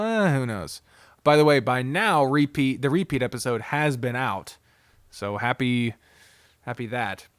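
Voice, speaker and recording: A man's voice: mid-range voice, clear, resonant tone, no room sound, studio quality. A man giving a casual, unscripted video review as a streamer, rambling candidly and swearing freely. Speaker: visual novel streamer and reviewer.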